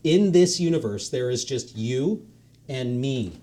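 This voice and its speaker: A man's voice: medium pitch, lively intonation, measured pace, mellow, natural voice. A man reading a breezy, offhand God. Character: casual, chatty male God, patient and amused.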